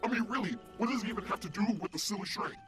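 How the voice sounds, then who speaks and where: A man's voice: sits high, lively intonation, very fast, fairly bright tone, soft background music. A man playing an easily outraged comic supervillain in a radio play, ranting in exasperated disbelief. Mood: indignant. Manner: ranting, incredulous. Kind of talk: comedic radio play acting.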